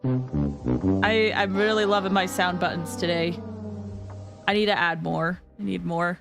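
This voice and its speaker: A woman hosting a game livestream, chatting casually with viewers in an expressive, excitable way and often repeating phrases for emphasis. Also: lowish voice, unhurried, vocal fry, music in the background. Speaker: game streamer and host.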